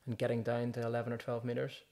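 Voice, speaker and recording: A man's voice: medium pitch, monotone, quick pace, gravelly, warm tone. A man hosting a podcast, asking casual questions and dropping in short reactions. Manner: brief encouraging interjections. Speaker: podcast host.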